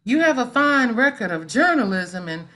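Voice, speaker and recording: A woman's voice: fairly high pitch, very animated, normal speed, pushed and bright. A middle-aged woman playing a proud, mouthy schoolteacher in a play reading on a video call. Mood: reminiscing, polite. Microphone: video call microphone.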